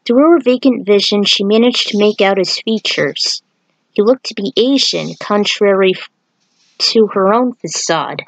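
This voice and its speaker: A woman narrates an amateur fan fiction story and speaks as its writer. Her pitch is mid-range for a woman. The recording is dry, with very little room sound. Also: unhurried, dry recording, rough recording.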